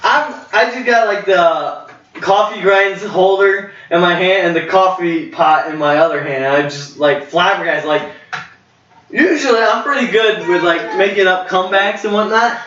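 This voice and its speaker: A man's voice: high voice, moderate pace, fairly bright tone, slight background noise. Rambling young man in a roomy room. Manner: rambling, full of fillers and self-corrections. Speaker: storyteller host. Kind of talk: casual storytelling chat. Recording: roomy, dull lo-fi home recording.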